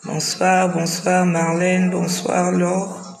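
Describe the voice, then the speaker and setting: A woman's voice: lowish voice, completely flat delivery, takes it slowly, creaky, roomy sound, soft background music. A tired woman with the flu hosting a casual live stream, greeting viewers one by one and repeating her welcomes.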